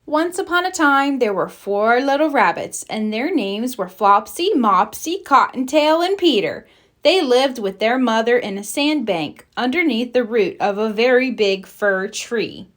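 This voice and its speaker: A woman reading a children's storybook aloud as a storyteller, with expressive, deliberate phrasing. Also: on the high side.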